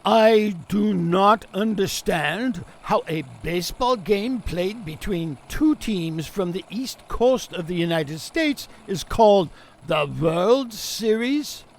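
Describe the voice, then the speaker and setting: A grumpy 1920s sports editor, voiced by a man, indignant and complaining. His voice sits high and swoops widely, with a gravelly rasp underneath. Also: lively intonation, takes it slowly, full character voice.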